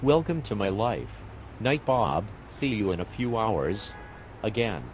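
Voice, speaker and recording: A man voicing a tired, sarcastic male fire medic in an amateur animated comedy, speaking dryly and with exasperation. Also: fairly low pitch, unhurried, slight background noise, poor audio.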